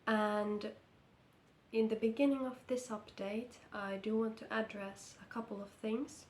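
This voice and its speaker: A woman from Finland with a Finnish accent, speaking English, sounding croaky from being sick as she talks through a knitting update slowly and carefully. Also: on the high side, fairly even intonation, darkish tone, reverberant, slight background noise. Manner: slow, careful, hesitant. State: hoarse from illness. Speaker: knitting vlogger. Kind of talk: casual vlog update. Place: indoor room under lamp light.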